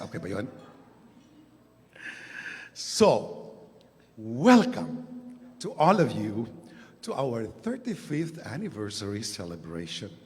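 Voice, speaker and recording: Hoarse Filipino man, slow speech. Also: lowish voice, a little mellow. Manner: slow and deliberate, asking the crowd questions. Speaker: agency director giving a speech. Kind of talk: anniversary speech mixing English and Tagalog. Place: large hall. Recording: long hall reverb.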